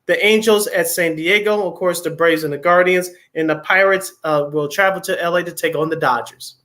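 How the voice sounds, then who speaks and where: A man co-hosts a sports radio show into a studio mic, his voice high and bright. He moves fast through lists of games and throws in wry, offhand remarks along the way. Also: fairly even intonation. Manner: reads schedules in quick runs, wry asides. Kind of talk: casual sports radio chat.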